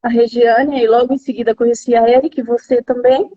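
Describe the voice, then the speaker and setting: A woman's voice: a little high, flat delivery, brisk, warm tone, a little hiss or hum. A Brazilian woman with a São Paulo accent hosts a casual live chat, interviewing a friend. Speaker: host of a live interview series. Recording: slightly dull lo-fi live-stream audio.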